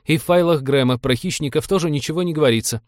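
A man's voice: medium pitch, expressive, brisk, no room sound, clean studio sound, voice pushed up, lightly acted. A man voicing a fearful, defensive young navigator who whines plaintively in an audiobook. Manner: plaintive, whining, self-justifying.

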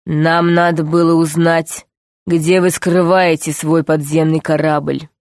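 A woman voicing a calm, mockingly sweet male underground tyrant in a storybook, speaking in a slow, deliberate, commanding way. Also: sits low, no echo, studio quality. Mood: gloating. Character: calm, menacing male underground ruler, mockingly sweet, power-hungry villain.

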